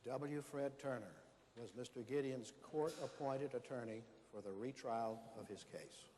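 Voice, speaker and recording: A man's voice: medium pitch, unhurried, darkish tone. A man narrating a staged re-enactment from a script, addressing his audience and introducing the speakers. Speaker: narrator of a readers theater re-enactment. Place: large hall before an audience. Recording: echoey hall, faint background noise.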